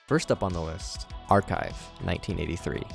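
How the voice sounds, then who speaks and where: Man, deep voice, scripted video commentary, host delivery, faint music behind. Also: brisk, creaky. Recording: music under the voice. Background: background music.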